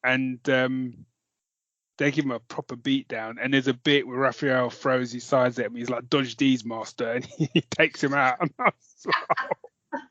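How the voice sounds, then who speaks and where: A man's voice: medium pitch, steady tone, measured pace, a bit bright, dry recording, dull audio. A British man co-hosting a podcast, chatting about comics in an informal, sweary and enthusiastic way. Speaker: podcast co-host. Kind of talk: casual chat about comics.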